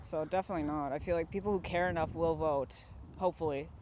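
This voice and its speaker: A man's voice: fairly high pitch, steady tone, quick pace, a little mellow. A young man giving a blunt, casual opinion in a street interview. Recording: faint outdoor street noise.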